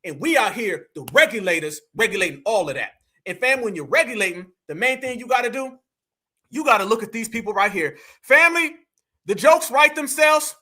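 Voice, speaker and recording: American man, livestream host, high voice, bright forceful tone, colloquial, emphatic, repetitive opinion commentary. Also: expressive, brisk. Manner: emphatic, repetitive, rhetorical questions; colloquial, addresses listeners as family. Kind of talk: live opinion commentary addressed to the audience.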